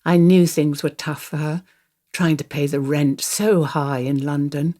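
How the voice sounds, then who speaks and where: A woman's voice: low voice, steady tone, unhurried, dark tone. An older woman acting a radio play monologue as a wistful, wry grandmother confiding her thoughts. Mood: reflective. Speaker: actor reading a monologue. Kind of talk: radio play monologue, first-person storytelling.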